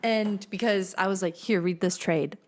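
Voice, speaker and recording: A woman's voice: medium pitch, moderate pace, darkish tone. A woman co-hosting a podcast, chatting informally and chiming in with short reactions. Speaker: podcast co-host. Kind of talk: casual chat.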